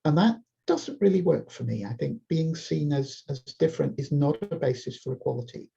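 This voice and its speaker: Gravelly, husky interviewer on dull audio. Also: medium pitch, expressive, normal speed, mellow. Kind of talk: interview questions.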